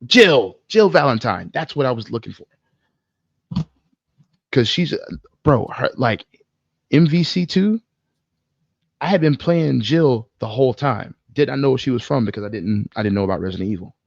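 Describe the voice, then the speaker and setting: Male podcast co-host: creaky voice, slangy, profane, opinionated, slightly dull but dry recording. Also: medium pitch, animated, normal speed, long pauses, dry recording. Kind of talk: casual film discussion.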